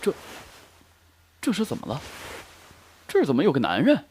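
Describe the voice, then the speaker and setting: Man acting a reserved bachelor with expressive intonation. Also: a little high, normal speed, background noise. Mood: puzzled, surprised. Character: reserved adult bachelor younger brother, mildly weary. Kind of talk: amateur audio drama acting.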